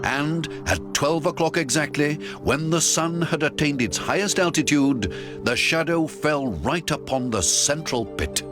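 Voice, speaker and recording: A man's voice: a little high, expressive, a little slow, few pauses. A man narrating a story in the first person, in a dramatic, theatrical storytelling style. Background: music.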